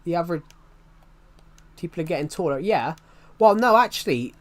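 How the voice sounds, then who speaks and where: A man's voice: on the high side, expressive, normal speed. Rambling young man who repeats himself. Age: 20s. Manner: rambling, repeats each phrase before going on. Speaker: streamer. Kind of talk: rambling casual livestream chat.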